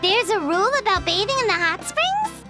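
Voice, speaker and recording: A woman voicing a small, excitable, timid childlike fairy companion with a squeaky voice in a video game. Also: normal speed. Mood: worried. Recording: music behind the voice.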